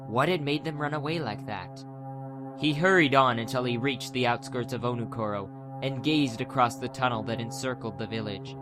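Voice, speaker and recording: A man's voice: mid-range voice, moderate pace. A man narrating a scripted fantasy adventure audiobook in a dramatic storytelling style. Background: music.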